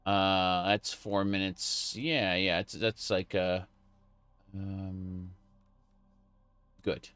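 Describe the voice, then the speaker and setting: A man's voice: low voice, fairly even intonation, drawn-out words, pauses often, fairly bright tone, smooth, no room sound. A man streaming a late-night gaming chat, rambling casually with lots of fillers and restarts. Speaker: streamer and video creator.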